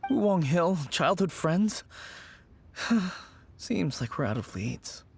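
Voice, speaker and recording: An actor voicing a weary young man in a game, flat and resigned, often sighing. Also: sits high, extremely slow, creaky, husky, exaggerated voice. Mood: glum. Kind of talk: game voice acting.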